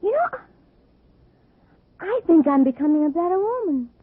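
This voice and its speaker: High husky female voice, coy and teasing, light-fingered charmer, lively swoops, vintage radio comedy, narrow tinny sound. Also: moderate pace, no echo. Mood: playfully proud. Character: coquettish, impulsive light-fingered woman, playfully unrepentant. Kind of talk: old-time radio comedy acting. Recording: tinny, narrow-band old radio recording.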